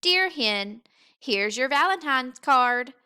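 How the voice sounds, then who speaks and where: Woman as an eager young bear cub, bright-voiced. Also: high-pitched, expressive, slow, clean tone, full character voice. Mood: wondering. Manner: wide-eyed, earnest.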